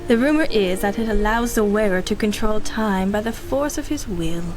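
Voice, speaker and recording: A woman's voice: mid-range voice, animated, normal speed, music in the background, natural voice. An actress playing a cynical, ruthless female mercenary in a 1930s-style radio drama, speaking with arch, mocking condescension. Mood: coolly mocking.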